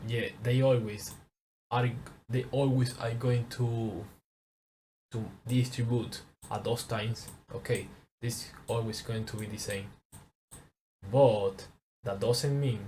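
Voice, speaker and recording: A young man from Venezuela with a Venezuelan Spanish accent, teaching a trading course in halting English as a second language, often repeating words. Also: lowish voice, a little mellow, a little hiss or hum. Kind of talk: casual screen-share lesson.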